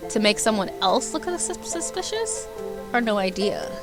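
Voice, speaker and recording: A woman's voice: mid-range voice, sing-song, normal speed. A woman livestreaming a game, chatting informally and playfully and reading a visual novel aloud. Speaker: game streamer. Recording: music playing behind the voice. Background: background music.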